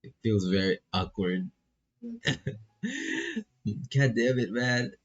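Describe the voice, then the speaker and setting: A man's voice: medium pitch, wildly swooping pitch, extremely slow, highs cut off. A man doing laid-back, casual live commentary on a visual novel, reading text aloud and swearing freely as he reacts.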